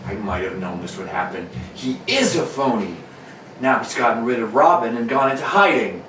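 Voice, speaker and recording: A man's voice: medium pitch, lively intonation, moderate pace, close to an everyday voice. A man playing an urgent, suspicious newspaper reporter and secret hero in an amateur 1940s-style radio play. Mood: dismayed. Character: urgent, suspicious mild-mannered male reporter, secretly a superhero. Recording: distant echoey room, noisy.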